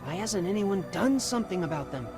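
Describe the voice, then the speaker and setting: A man's voice: sits high, expressive, brisk. An actor playing an earnest, loyal teenage Spartan boy in a video game, questioning and sincere. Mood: earnest, curious. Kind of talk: cinematic video game acting. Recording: music behind the voice.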